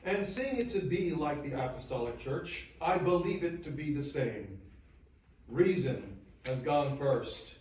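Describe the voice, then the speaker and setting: Echoey, slow, solemn churchman. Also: on the high side, animated, a little mellow, a little hiss or hum. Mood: resolved. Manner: solemn, deliberate, emotive, oratorical with weighted pauses. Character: 19th-century English churchman in a sorrowful, earnest farewell to friends. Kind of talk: theatrical dramatic reading. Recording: very echoey space, distant microphone.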